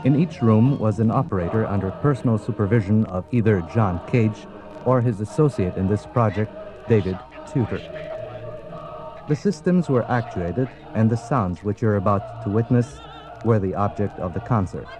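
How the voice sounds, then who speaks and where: A man with a low voice narrates from a script in a formal, measured announcer style. Music plays clearly behind him as he speaks. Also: poor audio.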